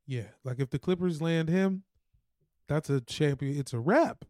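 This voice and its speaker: A man with a slightly high voice chats about sports as a podcast co-host. He is flippant and informal, full of slang, and sounds close and dry on the recording. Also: a little slow, a little mellow, no room sound. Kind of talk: casual sports chat.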